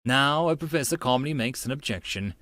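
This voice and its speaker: A man's voice: medium pitch, animated, brisk, pushed and bright, no echo, clean studio sound. A man reading a story as an audiobook narrator, in a theatrical first-person style. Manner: theatrical, acts out dialogue and asides. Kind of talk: dramatized first-person narration of a visual novel.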